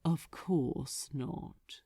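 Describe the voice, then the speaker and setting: A woman voicing a smug, seductive male archdemon in an audiobook, silky and softly menacing in a theatrical way. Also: deep voice, drawn-out words, husky, no room sound, studio quality, pitched below the performer's own voice, exaggerated voice. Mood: silky mockery. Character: seductive, sinister male archdemon, smugly patronizing. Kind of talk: dramatized fan fiction audiobook reading.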